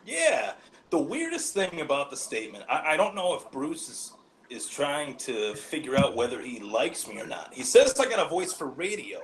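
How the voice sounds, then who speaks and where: A man's voice: on the high side, wildly swooping pitch, normal speed, rough recording. A man talking as a guest on a casual sports podcast, cocky and playful, with faint noise behind him.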